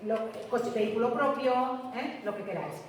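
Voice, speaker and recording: A woman's voice: a little high, lively intonation, normal speed, a little hiss or hum. A woman teacher giving a classroom lecture, explaining step by step and often checking that students follow. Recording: echoey classroom from far off.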